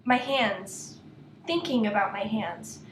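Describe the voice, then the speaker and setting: A woman playing a wistful, hesitant figure in a satirical absurdist radio play, speaking in halting fragments that trail off. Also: medium pitch, close to an everyday voice. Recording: echoey, distant mic with clear noise.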